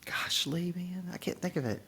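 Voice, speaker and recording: A woman's voice: on the low side, normal speed, a bit bright, husky. A woman in her late fifties, a podcast host in casual conversation, chatty and goofy, often laughing at herself. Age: 50s.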